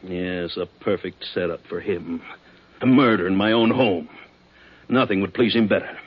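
A proud, stern district attorney and harsh father in a vintage radio drama. His American voice is low and rough-edged, with a curt, imperious delivery, on a muffled old broadcast recording. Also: moderate pace. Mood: stern, irritated. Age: middle-aged.

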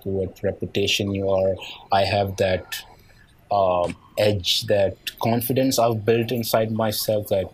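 Low-voiced young man, light Nigerian accent. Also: darkish tone, slightly rough, rough recording. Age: young adult. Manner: rambling, heavy on "like" and "yeah". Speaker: guest. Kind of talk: casual conversation.

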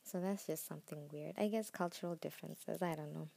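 A woman's voice: sits low, brisk, creaky, mellow, a little hiss or hum. A woman chatting informally as a solo podcast host, telling things in a casual, conversational way. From Botswana, southern Africa. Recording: roomy echo, faint music.

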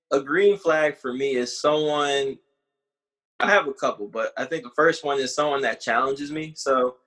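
A man's voice: mid-range voice, a bit bright, poor audio. A man in his late twenties chatting casually as a podcast co-host, talking things through in a reflective way and searching for his words. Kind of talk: casual conversation.

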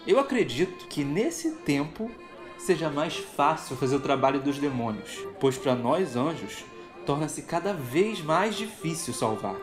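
An actor playing an earnest, dignified male angel in an audio drama, speaking in a formal, measured way. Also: fairly high pitch, animated, music in the background.

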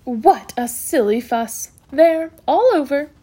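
A woman voicing a brisk, bossy, self-assured hospital matron in a storybook, scolding cheerfully in emphatic exclamations. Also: high-pitched, expressive, a little mellow. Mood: brisk, satisfied.

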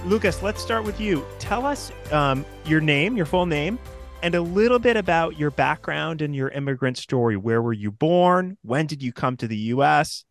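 A man's voice: fairly high pitch, lively intonation, moderate pace, vocal fry, faint music behind. A man asking conversational questions as a podcast interviewer.

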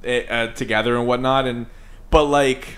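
A man in his twenties co-hosting a podcast in casual, slangy, profanity-laced chat, quick to argue with his friends. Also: medium pitch, fairly even intonation, a bit bright. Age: 20s. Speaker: podcast co-host.

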